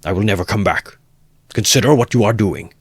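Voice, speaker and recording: A man voicing a pompous, self-righteous gentleman suitor in an audiobook, wordy and emphatic in his affront. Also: on the low side, brisk, slightly rough, no room sound, clean studio sound. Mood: affronted, incredulous.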